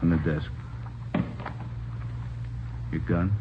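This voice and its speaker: A man's voice: sits low, little pitch movement, drawn-out words. A man acting a firm, formal, by-the-book senior police officer in an old radio drama, on a tinny, hissy recording. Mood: stern.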